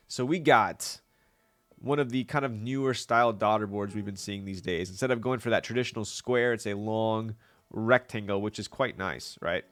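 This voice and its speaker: A man's voice: fairly low pitch, fairly bright tone. A man hosting a casual live stream, talking with viewers while he builds something. He sounds congested with a stuffy nose, and he is chatty and laughs often.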